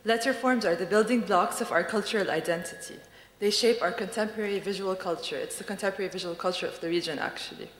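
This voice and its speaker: A woman's voice: mid-range voice, quick pace. A woman from Beirut, co-founder of a design studio, presenting at a conference in a thoughtful, hesitant way with many fillers. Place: large conference hall. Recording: echoey hall with faint ambience.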